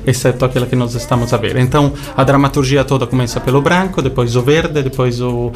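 A man's voice: mid-range voice, steady tone, fast, soft background music. A man from Italy speaking as an interview guest in non-native speech, with an Italian accent touched by Brazilian, explaining his work. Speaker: interview guest, visual show creator.

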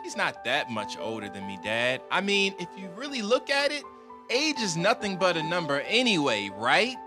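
An actor playing an eager, cocky 22-year-old son who talks in an upbeat, defiant way. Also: male voice, high-pitched, wildly swooping pitch, moderate pace, over music. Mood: coaxing. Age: 20s.

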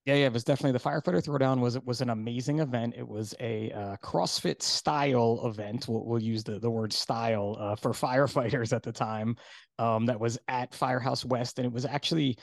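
A middle-aged man from New Jersey hosting a podcast in casual chat, hoarse today and rambling with fillers. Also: medium pitch, a little mellow, no room sound, studio quality. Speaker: podcast host.

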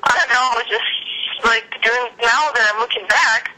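A young woman with a Ukrainian accent talks openly as a podcast guest. Her voice is very bright and crisp, and her speech wanders warmly through fillers and small laughs. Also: on the high side, normal speed, poor audio. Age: young adult. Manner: chatty, giggly, many fillers. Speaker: guest, actress and musician. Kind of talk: casual interview chat.

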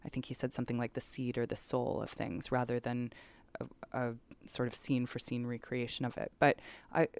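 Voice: medium pitch, little pitch movement, a little fast, hardly any pauses, clean tone. An interviewer asking long, reflective questions.

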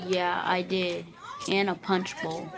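A woman's voice: on the low side, level tone, slow pace. A woman playing a kind but firmly unimpressed, plain-spoken schoolgirl in an amateur radio play, on a noisy recording. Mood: friendly. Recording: clearly noisy background ambience.

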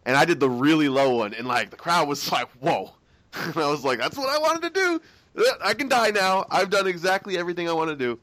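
A young man tells a friend all about a fun weekend in a loose, rambling way, swearing as he goes. His pitch jumps around a lot and stays lively. The sound is dry and close. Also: fairly quick, dry recording. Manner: excited, rambling, swears freely. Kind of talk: casual chat.

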